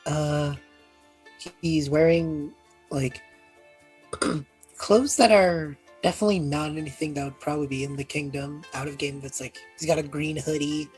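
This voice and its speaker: Woman, low pitch, husky, casual tabletop player, rambling, self-deprecating, soft music behind. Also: fairly even intonation, measured pace, poor audio. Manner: rambling, self-deprecating, frequent throat clearing. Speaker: player in a tabletop roleplaying game. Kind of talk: casual game chat.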